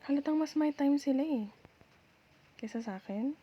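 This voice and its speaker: A woman's voice: sits high, normal speed, warm tone, dull audio, lightly acted. A woman playing a nagging, sulky young Filipino girlfriend who scolds and questions her partner in an ASMR roleplay. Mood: hurt, reproachful. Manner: scolding, pouty, rhetorical questioning.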